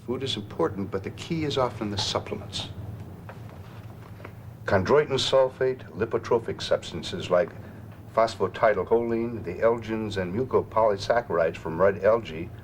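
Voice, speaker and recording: A man playing a deep-voiced, earnest doctor. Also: a little hiss or hum, close to an everyday voice. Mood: calm, instructive. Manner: measured, instructive, formal. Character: calm, earnest male doctor explaining treatments. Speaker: actor playing a physician. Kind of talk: scripted dramatization, explanatory dialogue.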